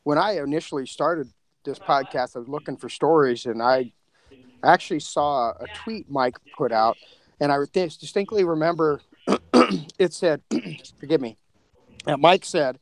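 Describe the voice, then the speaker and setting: A man's voice: on the high side, unhurried, poor audio. A man, a podcast co-creator, telling anecdotes in a casual, conversational way.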